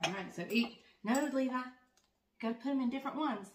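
A woman's voice: a little high, fairly quick, background noise. A woman in her fifties, a grandmother, chatting casually to camera in her home kitchen, rambling with plenty of fillers. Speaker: farm vlogger, grandmother. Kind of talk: casual vlog chat. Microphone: camera close up, then further off at the counter.

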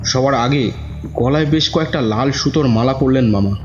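A man's voice: mid-range voice, moderate pace. A man narrating a scripted horror story in a dramatic, suspenseful manner. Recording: dull audio with music bed.

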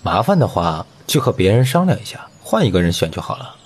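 A man's voice: deep voice, very animated, fast talker, husky, exaggerated voice. A young man playing a friendly, curious teenage schoolboy in an audio comic drama. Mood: gentle, amused. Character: friendly, curious teenage schoolboy, openly admiring his classmate. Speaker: amateur voice actor.

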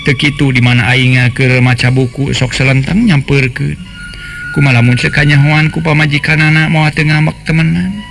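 A man's voice: mid-range voice, vocal fry, a bit bright. A man voicing an anxious young woman who muses and complains in earthy colloquial speech, in a dramatised folk tale. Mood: resentful. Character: anxious young woman, trusting niece, resentful of lecherous uncle. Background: faint music.

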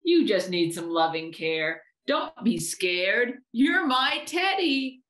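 A woman voicing a great big, silly, lonely bear in a slow, childlike way for a storybook. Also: mid-range voice, sing-song, fairly bright tone, echoey room, pitched above the performer's own voice. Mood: soothing.

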